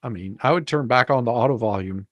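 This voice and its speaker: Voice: mid-range voice, fast talker, no echo, highs cut off. A host of an online group reading, bantering casually and directing the other readers.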